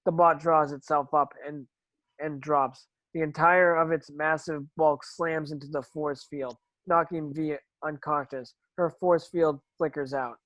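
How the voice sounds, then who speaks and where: A man's voice: on the high side, steady tone, a little slow, a bit bright, no room sound. A man narrating screen action from a script as a stage directions reader, now and then stumbling over words.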